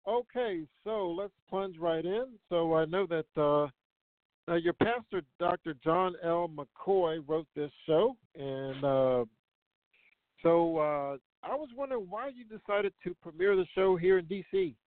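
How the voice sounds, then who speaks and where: Male radio host, slightly high pitch, casual interviewing, thin telephone sound. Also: measured pace, no echo. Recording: tinny phone-line sound.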